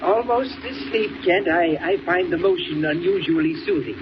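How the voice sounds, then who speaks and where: An actor playing a fussy, genteel photographer in a 1940s radio serial, hesitant and prissy, on a noisy old recording. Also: male voice, high voice, normal speed, lo-fi sound, lightly acted. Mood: drowsy. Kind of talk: 1940s radio character acting.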